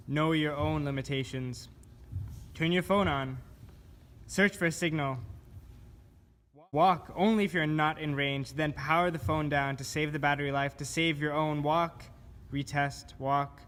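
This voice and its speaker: A man giving a scripted spoken-word reading of poetic survival instructions in a measured, deliberate manner. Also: fairly high pitch, a bit bright. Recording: clear background noise.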